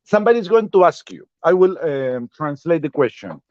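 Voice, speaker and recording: Chatty Peruvian man with a slightly high voice. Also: big pitch swings, a little fast, darkish tone, dry recording, lo-fi sound. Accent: Peruvian Spanish. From Peru. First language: Spanish. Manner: chatty, laughs often, reads out viewer comments. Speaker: vlogger hosting a livestream. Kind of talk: casual livestream chat.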